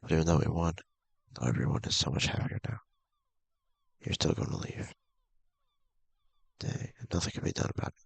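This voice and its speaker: A man with a gravelly, husky voice casually reading a visual novel aloud, halting and mumbling with offhand asides. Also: very deep voice, no room sound. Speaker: video game streamer.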